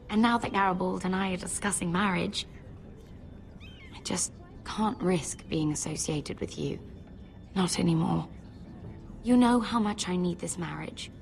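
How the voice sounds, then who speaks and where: A woman playing an anxious, reproachful young noblewoman at court in a fantasy video game, with a measured, pointed delivery. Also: lowish voice, creaky, full character voice.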